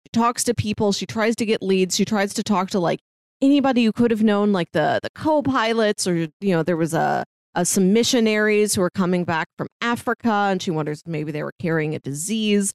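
A woman's voice: medium pitch, fairly quick, dry recording. A woman co-hosting a podcast, giving a casual, conversational review and recap. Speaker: podcast co-host.

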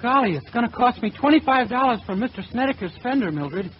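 Hapless naive kid soda jerk, really high boyish voice, old radio comedy, muffled. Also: male voice, lively intonation, fast. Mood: flustered. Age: young adult. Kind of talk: 1940s radio comedy acting. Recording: dull old radio recording.

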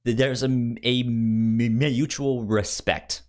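A man co-hosting a podcast, discussing films casually in a halting, informal way. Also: medium pitch, steady tone, darkish tone. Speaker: podcast co-host.

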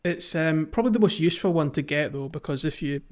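Male gaming podcast host, fairly high voice, informal and conversational, slightly lo-fi sound. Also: quick pace, darkish tone. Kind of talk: casual gaming podcast chat. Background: music.

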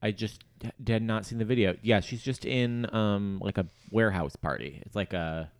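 Very low-pitched voice in casual chat. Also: moderate pace. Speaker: podcast panelist.

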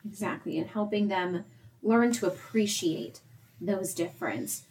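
A young woman co-presenting, talking parents through advice in a steady, explanatory way and addressing them directly. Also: mid-range voice, unhurried, a little hiss or hum, rough recording. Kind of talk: semi-scripted advice talk.

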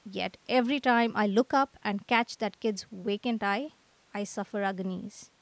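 A woman's voice: mid-range voice, steady tone, normal speed, no echo, natural voice, poor audio. A woman playing a gloomy, aggrieved young male artist in a radio drama, with theatrical lamenting. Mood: bitter self-pity.

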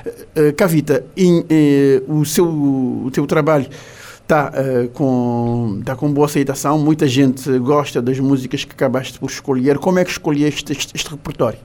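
A man with a Cape Verdean Portuguese accent hosting a radio interview in the studio, often repeating words and filling pauses. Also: medium pitch, fairly even intonation, warm tone. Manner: halting, repeats words and uses fillers. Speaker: radio host.

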